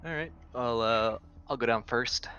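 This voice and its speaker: A man playing a tabletop game, casually and haltingly stating his moves over a lo-fi mic. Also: mid-range voice, sharp tone. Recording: dull lo-fi mic, faint noise.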